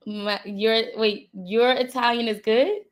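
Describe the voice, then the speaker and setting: A woman hosting a casual livestream chat, relaxed, answering in short prompts and laughing easily. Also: fairly high pitch, slow. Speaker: livestream host.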